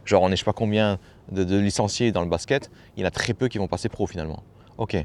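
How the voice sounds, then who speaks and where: Low-voiced guy talking fast, coaching folks on fear and failure, slangy, repeats stuff to make his point. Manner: familiar, slangy, repeats phrases for emphasis. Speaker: personal development coach. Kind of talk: casual motivational talk to camera.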